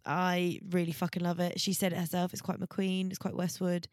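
A woman's voice: on the low side, level tone, normal speed, dry recording, studio quality. A woman co-hosting a podcast, chatting casually about fashion in a blunt, sweary, enthusiastic way. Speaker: podcast co-host. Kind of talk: casual chat, fashion commentary.

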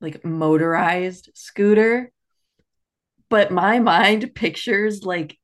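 A woman's voice: mid-range voice, extremely slow. Casual chatty woman co-hosting a podcast. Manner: conversational, heavy use of "like", candid. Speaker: book podcast co-host. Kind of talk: casual book chat.

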